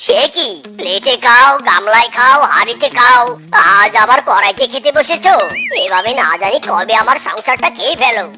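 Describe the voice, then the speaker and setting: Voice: medium pitch, lively intonation, moderate pace, natural voice. An actor playing an elderly henpecked husband in a children's cartoon, complaining in an exclamatory way. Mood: grumbling. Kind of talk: Bangla children's cartoon voice acting. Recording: music behind the voice.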